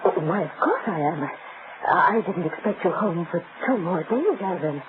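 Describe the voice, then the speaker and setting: A woman's voice: lowish voice, animated, normal speed, natural voice. An actress playing a nervous, hesitant wife frightened of her husband in an old-time radio melodrama. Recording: noisy, tinny old radio recording.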